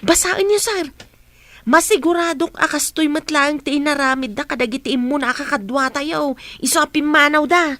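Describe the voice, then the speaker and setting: A woman playing a chatty, fussing, protective older household woman in an audio drama, exclamatory and animated. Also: sits high, moderate pace, dry recording. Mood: alarmed, insistent.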